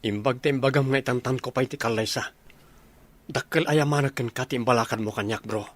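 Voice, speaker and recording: A man acting as a brisk, friendly young buddy in a radio comedy drama. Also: mid-range voice, natural voice.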